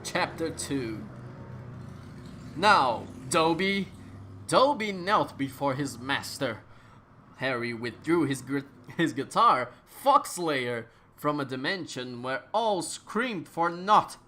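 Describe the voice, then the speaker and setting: Slow, lively theatrical story reader. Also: mid-range voice, a little hiss or hum. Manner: theatrical, stumbles and rereads phrases. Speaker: reader of a fan fiction. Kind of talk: mock-dramatic comedic story reading.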